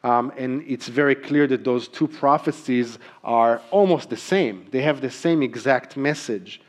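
A man's voice: medium pitch, a little slow. A man preaching a sermon as a church pastor, earnest, with frequent ums and emphatic repeated key phrases. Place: church sanctuary.

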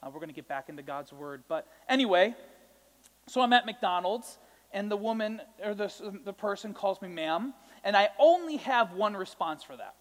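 High-voiced young male preacher. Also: animated, normal speed. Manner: conversational and self-joking, with asides to the congregation. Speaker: pastor. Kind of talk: sermon. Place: church sanctuary. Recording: long hall reverb.

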